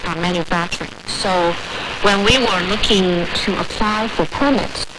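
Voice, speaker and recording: A woman's voice: mid-range voice, measured pace. A woman from China with a Chinese accent, answering interview questions earnestly about her factory. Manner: earnest, slightly non-native phrasing. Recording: off-air shortwave radio with heavy noise.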